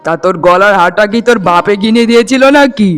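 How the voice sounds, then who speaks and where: A man's voice: high voice, fast, fairly bright tone, clean tone, soft background music. A man voicing an arrogant, heartless rich uncle in a melodramatic cartoon, mocking and scornful. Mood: angry, scornful. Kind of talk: melodramatic Bengali cartoon voice acting.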